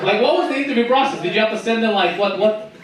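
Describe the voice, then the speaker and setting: A high-voiced man does stand-up crowd work on a club stage, teasing people and laughing through his lines. He is heard from a distance in a very echoey room, with loud ambience behind him. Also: quick pace, background noise. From Romania. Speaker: stand-up comedian. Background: audience ambience.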